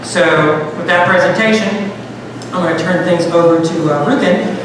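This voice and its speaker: Higher-voiced man presenting in echoey hall. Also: normal speed. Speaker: event presenter introducing the next speaker. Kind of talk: informal public presentation. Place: indoor public event at a bookstore. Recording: echoey hall from far off, clear background noise.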